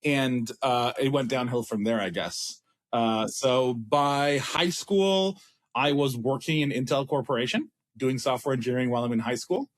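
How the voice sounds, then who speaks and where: An Israeli man in his thirties tells his story in a relaxed, meandering way. He restarts his sentences often and pokes fun at himself. His pitch sits in the middle of the range for a man. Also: normal speed. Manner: rambling, self-deprecating, many restarts. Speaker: startup CEO guest. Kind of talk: casual interview talk.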